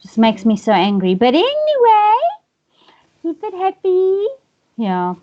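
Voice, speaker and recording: A woman's voice: very high voice, wildly swooping pitch, takes it slowly, a little hiss or hum. A South African woman co-hosting a casual live stream, rambling with self-mocking asides. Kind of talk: casual chat. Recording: dull lo-fi stream audio.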